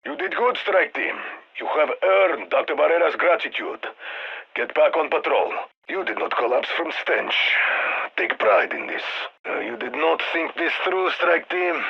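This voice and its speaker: A commanding Russian soldier in a military video game. He is raspy, fairly high for a man, and speaks in clipped, dry orders with a Russian accent. The sound is slightly dull, as if through a radio. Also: exaggerated voice. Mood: dry, gruff. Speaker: mission handler.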